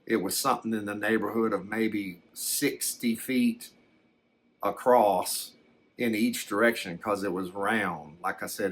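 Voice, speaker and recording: A man voicing a folksy storyteller who recounts a frightening encounter in a halting, rambling ASMR style, often stammering and backtracking. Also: fairly low pitch, fairly bright tone. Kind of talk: first-person ASMR storytelling.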